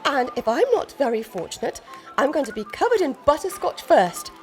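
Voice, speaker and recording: A woman's voice: high-pitched, big pitch swings, normal speed, low-quality recording. An actress playing a sardonic, imperious young noblewoman, a reluctant bride in a comic period radio play. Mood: exasperated sarcasm. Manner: imperious, dry sarcasm, mock-archaic speech. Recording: studio radio play with music.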